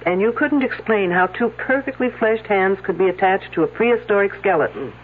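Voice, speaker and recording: An actress voices a brisk lady detective who fires off commanding questions, her voice swinging widely in pitch. The tone is husky, and it comes through a tinny old radio broadcast. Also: expressive, lightly acted. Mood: probing. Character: sharp, impatient woman detective. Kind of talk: 1970s radio drama acting.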